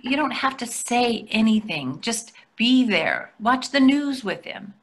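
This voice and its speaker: A woman's voice: a little high, sing-song, unhurried. An older woman reading the part of a practical, exasperated wife in a stage play, dry and matter-of-fact. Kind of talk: readers theatre play reading. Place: plain room indoors, video call.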